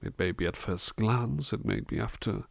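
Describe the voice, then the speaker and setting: A man playing a gentle, devoted butler in an intimate ASMR roleplay, soft-spoken, courteous and reassuring, with hesitant phrasing. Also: on the low side, huge pitch swings, moderate pace, gravelly, dry recording, full character voice. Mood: musing. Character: gentle, devoted, polite butler attending to a master.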